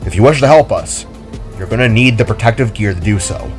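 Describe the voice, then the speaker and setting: An older brother type, voiced by the man who narrates speaks with quick, firm authority. His voice stays close to the narrator's own. Soft music sits behind him. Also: fairly low pitch, expressive, close to an everyday voice. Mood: commanding. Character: older brother, calm commanding cyborg man.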